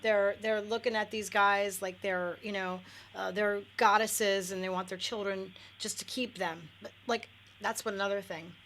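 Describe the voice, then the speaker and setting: Candid, bright-voiced woman radio guest. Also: medium pitch, steady tone, moderate pace, a little hiss or hum. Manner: candid, many fillers and self-corrections. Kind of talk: radio talk show chat. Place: radio studio.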